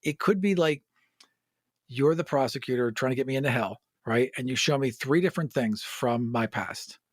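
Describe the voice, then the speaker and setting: A man's voice: medium pitch, normal speed, no room sound, studio quality. A man brainstorming in casual conversation, emphatic and sweary, often repeating himself for effect.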